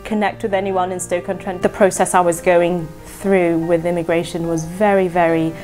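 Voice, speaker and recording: A woman from Botswana speaking candidly and in a measured way about moving to the city. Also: lowish voice, level tone, a little mellow, soft background music. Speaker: interviewee. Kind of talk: personal testimony.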